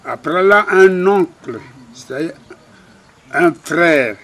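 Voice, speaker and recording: An older man with a Congolese French accent recounting his life in an interview, halting and modest. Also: a little high, expressive, fairly bright tone. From Republic of the Congo. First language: a Congolese language. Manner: slow, halting, self-deprecating. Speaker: interviewee, retired cabinetmaker. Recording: faint background noise.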